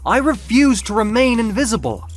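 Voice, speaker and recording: A man playing an impassioned, high-pitched, proud winged muse. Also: sing-song, normal speed, soft background music. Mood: indignant, defiant. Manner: theatrical, grandiose, declamatory. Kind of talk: audio comic book acting.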